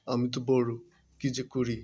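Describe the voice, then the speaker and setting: A man's voice: mid-range voice, completely flat delivery, moderate pace, darkish tone, natural voice. A man voicing a proud, exasperated elder fox brother in a cartoon, scolding and lamenting. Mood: distressed, indignant. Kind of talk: cartoon voice acting.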